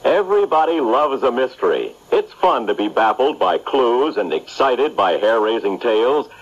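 Male American promo announcer: vintage radio, dramatic swoops, slightly husky, muffled sound. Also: mid-range voice, very animated, normal speed. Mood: dramatic, inviting. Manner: polished, dramatic. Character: dramatic announcer selling mystery thrillers.

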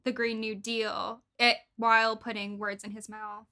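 A young woman with an American accent, co-hosting a casual podcast in informal, halting phrases sprinkled with "like". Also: on the high side, little pitch movement, sharp tone. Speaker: podcast co-host. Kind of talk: casual political podcast chat.

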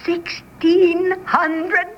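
An actress playing a greedy American swindler's wife who feigns piety, in a radio drama. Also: female voice, extremely high pitch, flat delivery, drawn-out words, exaggerated voice. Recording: dull radio recording, faint noise.

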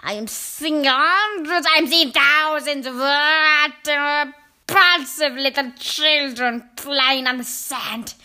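A woman voicing an imperious, child-hating witch queen in a storybook, with drawn-out, menacing delivery. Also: a little high, animated, sharp tone, full character voice. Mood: enraged, screaming. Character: furious, tyrannical Grand High Witch who loathes children.

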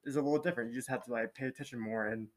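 A man's voice: mid-range voice, fairly even intonation, racing, slight background noise. A young man speaks informally and with opinion in a group discussion, using slang and swearing. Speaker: panel participant.

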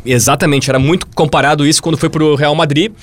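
A man's voice: on the high side, steady tone, quick pace, dry recording. A Brazilian man co-hosting a casual football podcast, explaining points and often checking in with his co-hosts. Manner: explanatory, leaning on tag questions. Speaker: podcast co-host.

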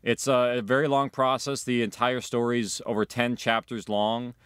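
Man, mid-pitched voice, explanatory interview delivery, audio production creator, close dry sound. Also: level tone, normal speed, a bit bright, smooth, no room sound.

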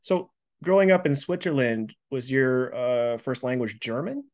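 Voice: mid-range voice, lively intonation, a little slow, a little mellow. An interview host asking questions with short prompts and dry quips.

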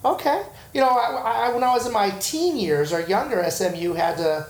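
A man's voice: very high-pitched, normal speed, reverberant. A man co-hosting a podcast who jokes and laughs often in casual chat. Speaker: podcast co-host.

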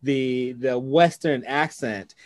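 A male interviewer recorded dry and close, speaking at a middle pitch. He guides the guest with leading questions and breaks into short laughing asides. His delivery stays conversational throughout. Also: slow pace, dry recording.

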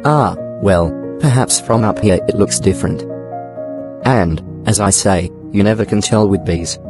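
A man playing a naive, earnest toy bear who loves honey, pondering with gentle self-importance in a storybook dramatisation. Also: on the low side, a little slow, lightly acted. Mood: earnest scheming. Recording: background music.

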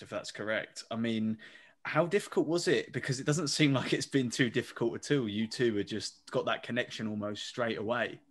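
A man co-hosting a podcast, asking loose, chatty questions full of fillers. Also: medium pitch. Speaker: podcast co-host.

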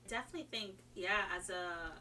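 A woman with a bright, keen voice talks casually and enthusiastically, doubling back on her sentences as she goes. She is from Trinidad and moved to America young. The recording sits a little far from the mic, with faint noise and hum. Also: mid-range voice, fast talker. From Trinidad, moved to America at age five. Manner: rambling, enthusiastic, self-interrupting. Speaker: podcast guest. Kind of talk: casual chat about fandom.

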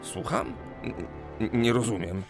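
An actor playing an earnest, nervous young suitor in a historical radio drama, speaking politely and hesitantly with some stammering. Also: male voice, medium pitch, very animated, unhurried, gravelly, soft background music. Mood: baffled, offended.

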